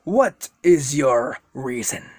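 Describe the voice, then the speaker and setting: An amateur narrator voicing an ancient male serpent spirit, speaking slowly, low and grave, in a spoken fan story. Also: lively intonation, a little mellow, no room sound, studio quality. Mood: solemn.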